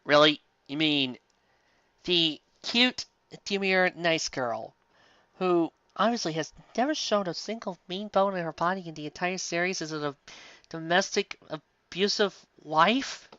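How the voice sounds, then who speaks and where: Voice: medium pitch, unhurried, pushed and bright, clean tone, no room sound, dull audio. A sarcastic, exasperated commentator reading fan fiction aloud and breaking off into theatrical asides. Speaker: reader and commentator.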